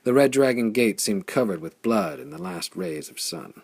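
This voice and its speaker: A man reading a pulp science-fiction story aloud as an audiobook narrator, with a gravelly, husky voice and a measured, dramatic delivery. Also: mid-range voice, expressive, a little mellow.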